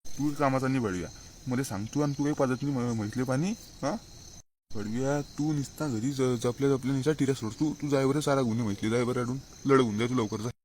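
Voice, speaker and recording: A man's voice: medium pitch, a little fast, a little mellow, slight background noise, natural voice. A man playing a scolding, stingy, unschooled village father in a comic cartoon, with a rural Maharashtrian accent. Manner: blustering, scolding.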